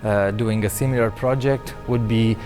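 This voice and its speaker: A man from Italy, a doctoral researcher, giving earnest recorded interview answers with some halting phrasing. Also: fairly low pitch, steady tone, a little mellow, soft background music. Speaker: PhD researcher.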